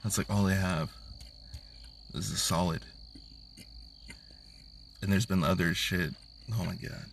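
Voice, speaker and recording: A rambling, laid-back man with a lowish voice, swearing freely as he talks. He sounds a little far from the mic, with faint noise and crickets chirping behind him. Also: steady tone, a little slow, creaky, long pauses. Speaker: podcast co-host. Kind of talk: casual drunk chat.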